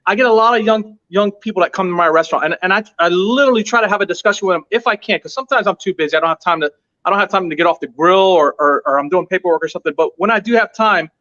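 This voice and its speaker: Guest-interview read: high-pitched man, earnest and emphatic, hammering points through repetition, heard over muffled lo-fi audio. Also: very fast, sharp tone. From United States. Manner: emphatic, repeats points for stress. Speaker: restaurant owner, interview guest. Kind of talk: earnest motivational storytelling.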